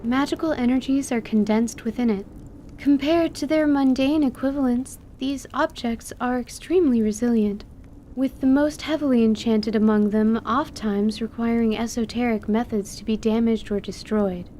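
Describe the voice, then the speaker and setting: Bookish lady wizard, acted by a woman: guarded, precise, formal, mellow tone, slightly high, fantasy audio drama. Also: moderate pace, a little hiss or hum, close to an everyday voice. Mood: scholarly. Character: bookish, guarded female wizard who over-explains magic.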